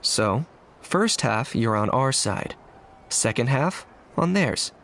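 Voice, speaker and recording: Easygoing, medium-pitched young warrior. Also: male voice, animated, slow, a bit bright, exaggerated voice. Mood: matter-of-fact. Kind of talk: video game voice acting.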